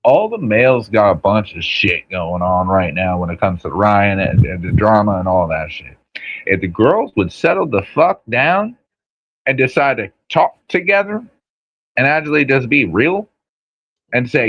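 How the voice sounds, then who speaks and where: A man's voice: medium pitch, expressive, measured pace, dull audio. A man in his thirties on a podcast, blunt and opinionated, cursing freely. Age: 30s. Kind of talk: casual podcast chat.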